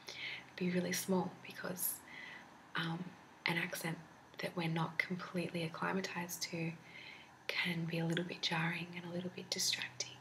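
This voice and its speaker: A woman with an Australian accent whispering softly into a close mic for an ASMR talking video, rambling with frequent hesitant ums. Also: on the low side, rough recording. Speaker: ASMR creator. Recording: steady background noise.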